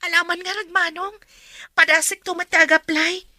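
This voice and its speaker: An actress playing an earnest, dutiful young graduate in a radio drama, expressive and respectful, with a northern Philippine accent. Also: female voice, very high-pitched, normal speed, exaggerated voice. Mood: hopeful, dutiful. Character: earnest, dutiful young woman graduate, newly qualified teacher.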